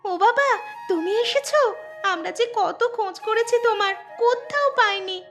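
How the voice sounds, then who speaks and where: A woman's voice: very high voice, expressive, normal speed, fairly bright tone, faint music behind, exaggerated voice. A woman voicing an eager, dutiful young village daughter in a cartoon, with an exclamatory way of talking. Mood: surprised, delighted. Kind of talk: cartoon dubbing.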